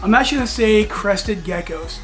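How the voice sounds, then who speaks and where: A man in his forties, hoarse and raspy from allergies, hosting a casual vlog and rambling with many fillers and restarts. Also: high-pitched, brisk, a bit bright, faint music behind. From United States. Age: 40s. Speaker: reptile channel host.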